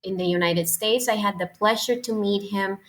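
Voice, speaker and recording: A young woman with a thick Puerto Rican Spanish accent talks as a guest in a relaxed interview. English is her second language, so she stops often to hunt for the right word. Her voice sits at an ordinary, middling pitch for a woman. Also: moderate pace, vocal fry. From Puerto Rico. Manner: hesitant, searching for words, frequent fillers. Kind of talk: casual interview conversation.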